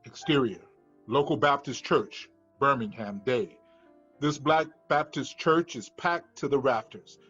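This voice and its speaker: A man reading scripted screenplay directions as narration, in a husky voice. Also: mid-range voice, unhurried, fairly bright tone. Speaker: stage directions reader. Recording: dull lo-fi room recording, faint music.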